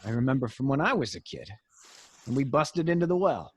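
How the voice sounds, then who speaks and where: An actor playing a nervous, grumbling accomplice in an old-time radio drama, stammering and complaining. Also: male voice, medium pitch, lively intonation, fairly quick, slightly rough. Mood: reassuring, irritated.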